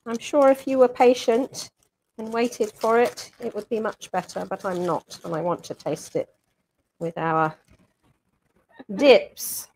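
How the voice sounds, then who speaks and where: A woman's voice: a little high, fairly even intonation, a little slow, a little mellow, a little hiss or hum, rough recording. An older woman hosting a live cooking demonstration from her home kitchen, chatty and self-mocking. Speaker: cooking show chef. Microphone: fixed camera mic across the counter.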